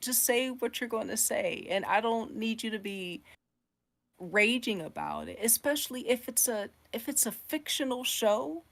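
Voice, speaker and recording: A woman co-hosting a podcast, chatting casually and giving her opinions in an animated, emphatic way. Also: a little high, moderate pace. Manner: animated, emphatic, mimics others in quoted asides. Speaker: podcast co-host.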